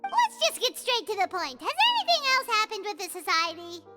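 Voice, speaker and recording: A tiny fairy game companion with an extremely high, squeaky, childlike voice. The delivery is exclamatory and impatient, swinging widely in pitch, with prominent music playing behind it. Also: female voice, sing-song, a little fast. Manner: refers to self in third person, exclamatory. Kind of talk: video game character acting.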